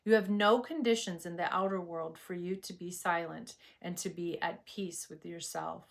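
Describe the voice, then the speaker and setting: A woman teaching meditation in a scripted spiritual talk, with a soothing, measured and reverent delivery. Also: lowish voice, fairly even intonation, gravelly.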